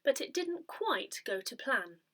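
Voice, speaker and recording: Woman, high voice, very lively intonation, measured scripted reading. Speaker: volunteer reader of an audio newsletter. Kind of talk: reading aloud a church newsletter.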